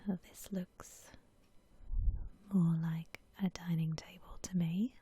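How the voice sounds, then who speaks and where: A woman gives ASMR game commentary in a very breathy whisper. Her delivery is slow and gentle, as if thinking aloud. The tone is soft and mellow throughout. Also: on the low side, little pitch movement. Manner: gentle, unhurried, musing aloud. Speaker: host of an ASMR gaming channel.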